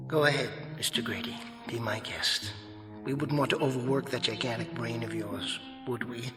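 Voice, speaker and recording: An actor playing a smug, sly tempter spirit in a radio drama, taunting in a silky, formal, theatrical manner. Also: male voice, mid-range voice, moderate pace, lightly acted. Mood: scornful mockery. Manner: mocking, silky, formal, goading. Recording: echoey hall reverb, music underneath.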